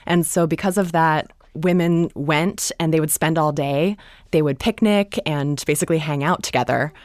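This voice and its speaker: Low-key woman playwright, low even pitch. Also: moderate pace, no echo, studio quality. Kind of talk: panel discussion about a play.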